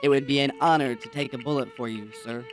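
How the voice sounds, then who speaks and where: A man playing a deferential but quietly treacherous aide in an absurdist audio drama, measured, formal and unruffled. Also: mid-range voice, fairly even intonation, over music, lightly acted. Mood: loyal, deferential. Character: deferential male aide, smoothly calm, quietly treacherous and ambitious.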